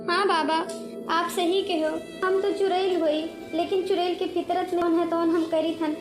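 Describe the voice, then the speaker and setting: A woman's voice: very high-pitched, level tone, moderate pace, over music. A woman voicing an aggrieved female witch ghost in a cartoon comedy, speaking emphatically and repeating words for force. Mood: aggrieved, pleading. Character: aggrieved female witch ghost, murdered before her wedding. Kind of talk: animated comedy cartoon voice acting in dialect.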